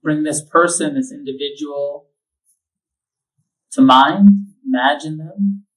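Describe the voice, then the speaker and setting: A man leading a guided meditation, giving slow, calm instructions with long pauses. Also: a little high, recorded from a distance, poor audio. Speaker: meditation teacher.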